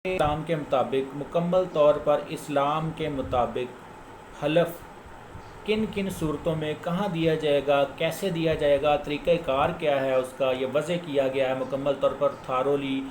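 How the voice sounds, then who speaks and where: A man's voice: on the high side, fairly even intonation, moderate pace, a little mellow. A man with a Pakistani accent giving an informal explanatory lecture as a lawyer, didactic and repeating key points for emphasis. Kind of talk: informal explanatory lecture citing case law. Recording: echoey room with faint background noise.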